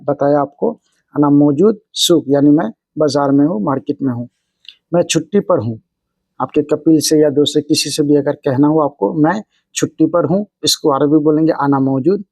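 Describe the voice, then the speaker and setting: Mellow-voiced man teaching phrases slowly. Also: a little high, no echo. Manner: slow repeated example phrases, explaining word by word. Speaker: Arabic language teacher. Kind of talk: casual spoken language lesson. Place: Saudi Arabia.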